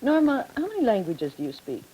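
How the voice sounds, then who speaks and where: Woman, 1950s television moderator; mellow, brisk, teases her young guests. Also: on the high side, huge pitch swings, slightly rough, dull audio. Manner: brisk, teasing questions to guests. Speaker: moderator of a televised student forum.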